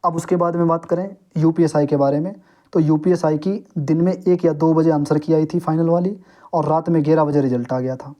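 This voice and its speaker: A man's voice: a little high, fairly even intonation, a little fast, warm tone. A young man explaining things informally to his viewers, step by step, often checking that they follow. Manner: instructive, repeatedly checks understanding with short tags. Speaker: exam coaching YouTuber.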